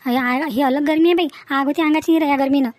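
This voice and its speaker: Woman playing a high-pitched, gossipy village housewife. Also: fairly even intonation, fast, no echo, close to an everyday voice. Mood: chatty complaining. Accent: rural Maharashtrian Varhadi. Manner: chatty, gossipy, emphatic rural talk. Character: talkative, sharp-tongued village housewife who gossips. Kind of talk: comic village dialogue for a cartoon.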